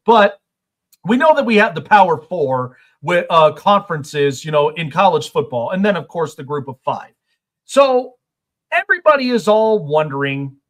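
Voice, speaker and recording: A man's voice: sits high, fairly bright tone. A man hosting a sports talk show, asking long rambling questions in a casual chat. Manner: rambling questions with many fillers.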